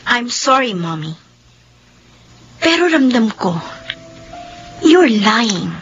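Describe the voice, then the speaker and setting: High, suspicious daughter or son played by a woman. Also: sing-song, very slow, fairly bright tone, husky, highs cut off, exaggerated voice. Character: suspicious, probing child questioning a parent. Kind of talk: radio drama acting.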